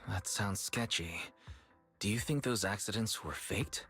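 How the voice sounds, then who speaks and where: A man's voice: fairly low pitch, measured pace, fairly bright tone, husky, faint music behind. A man voicing a supportive, level-headed young protagonist in a video game, casual and reassuring. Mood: calm, puzzled.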